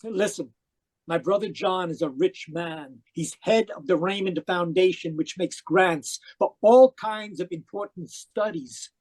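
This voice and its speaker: A man's voice: sits high, a little slow, darkish tone, husky. A man playing an obsessed, desperate zealot in an old-time radio mystery, fervent, pleading and intense. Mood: urgent, determined. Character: obsessed, desperate zealot younger brother turned killer. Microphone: home microphone on a video call.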